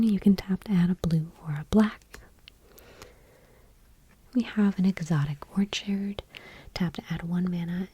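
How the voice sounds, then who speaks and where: A woman speaks in a very breathy, hushed whisper with a soft, dark tone. She reads item by item in ASMR style, gentle and unhurried, keeping her voice on the low side. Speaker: ASMR video host. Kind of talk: soft-spoken ASMR, whispered card reading.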